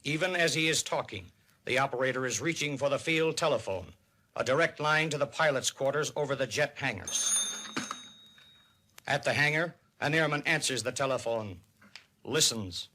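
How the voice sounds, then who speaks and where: An American man narrating a 1950s radio civil defense documentary in a grave, emphatic, deliberate manner. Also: mid-range voice, bright, forceful tone. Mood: grave, urgent. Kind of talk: 1950s radio documentary narration, dramatic public-service announcing. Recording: vintage 1950s radio recording.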